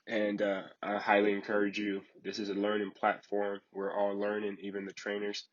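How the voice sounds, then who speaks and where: A man's voice: on the low side, monotone, moderate pace, highs cut off. A man from Liberia giving an online training lecture in a polite, formal, instructional way.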